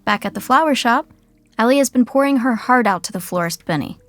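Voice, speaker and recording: Wry, higher-pitched sitcom narrator. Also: female voice, lively intonation, brisk, dry recording, faint music behind. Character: wry, conversational storyteller narrator.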